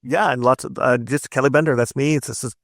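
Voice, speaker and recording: A man's voice: medium pitch, fairly even intonation, no echo. Man with a very dry, close sound. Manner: halting, repetitive, explanatory delivery with doubled words. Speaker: guest, narrative designer and writer. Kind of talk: casual interview talk.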